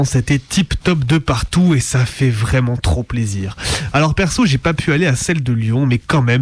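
Sarcastic man with a higher-pitched voice. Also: no room sound. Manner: sarcastic, slangy, militant. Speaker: radio show host. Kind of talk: opinionated news commentary, colloquial.

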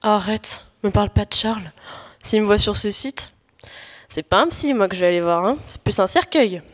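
A woman's voice: medium pitch, normal speed, natural voice. A young woman playing a bored, melodramatic wife who whines to her best friend in slangy comic audio fiction, with an exasperated drawl. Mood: gloomy. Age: teenager or young adult. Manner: whiny, exasperated, drawling complaints. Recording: echoey hall, live stage performance.

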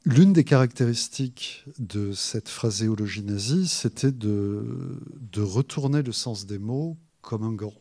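A man, an author, explaining his subject in a hesitant way, with many filler pauses and restarts. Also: fairly low pitch, mellow, no echo. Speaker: author discussing his book. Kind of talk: thoughtful spoken explanation.

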